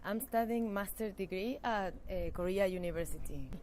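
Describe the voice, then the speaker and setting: A woman's voice: medium pitch, moderate pace, a little mellow, a little hiss or hum. A young adult woman with an Argentine accent, giving hesitant, thoughtful answers in an informal interview. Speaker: master's student interviewee.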